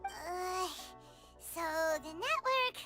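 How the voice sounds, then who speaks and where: A woman voicing a small, naive, childlike fairy companion in a video game, with a squeaky, cutesy, hesitant delivery. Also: expressive, very slow, over loud music, heavily acted. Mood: confused. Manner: hesitant, third-person, cutesy. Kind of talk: cartoonish video game acting.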